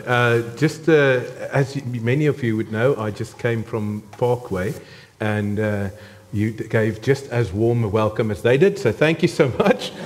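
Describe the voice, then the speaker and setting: A man in his thirties with a South African accent, preaching a conversational sermon to a church congregation with frequent ums. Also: mid-range voice. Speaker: guest preacher.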